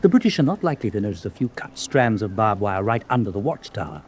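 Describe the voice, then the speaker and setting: A man's voice: lowish voice, sing-song, fast, slight background noise. A man playing a shrewd, confident prisoner of war, explaining things with self-assurance in a radio drama. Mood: keen, scheming. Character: shrewd, confident POW plotting an escape. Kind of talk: vintage-style war radio drama acting.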